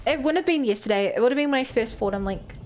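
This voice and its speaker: A woman's voice: a little high, expressive, quick pace, noisy background. A woman with a New Zealand accent streaming a game, chatting casually, thinking aloud and answering her chat. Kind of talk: casual gameplay commentary.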